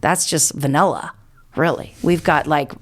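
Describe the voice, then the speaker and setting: A woman's voice: sits low, unhurried. A middle-aged American woman answering as an interview guest, explaining things earnestly in a conversational way.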